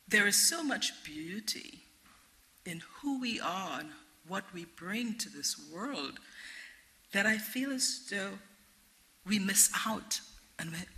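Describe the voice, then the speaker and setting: A woman's voice: mid-range voice, lively intonation, a little slow, pushed and bright, rough recording. A middle-aged woman with a Trinidadian accent, speaking earnestly as a guest at a public forum and answering questions. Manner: earnest, repeats and restarts phrases. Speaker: guest speaker, interfaith director.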